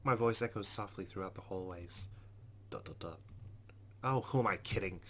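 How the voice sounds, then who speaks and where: A man's voice: lowish voice, fairly quick. A man hosting a let's play, reading visual novel narration aloud and adding asides. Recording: loud steady background noise.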